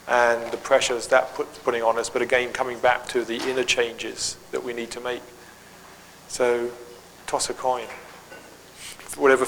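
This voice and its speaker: A man leading a conference session, answering questions and reflecting in a calm, gently humorous way. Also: medium pitch. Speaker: workshop leader. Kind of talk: conference Q&A and talk. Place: conference hall. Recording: hall reverb, faint ambient noise.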